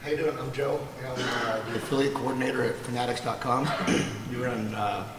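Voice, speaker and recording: A hoarse man speaking on a conference panel as an affiliate coordinator, in an informal manner and often clearing his throat. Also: medium pitch, steady tone, moderate pace, noisy background. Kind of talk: conference presentation. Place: large conference hall. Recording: reverberant conference hall.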